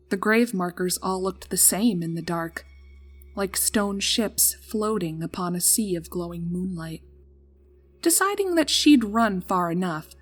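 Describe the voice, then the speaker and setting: A woman reading a scripted story as narrator in a dramatic storytelling style. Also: mid-range voice. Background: background music.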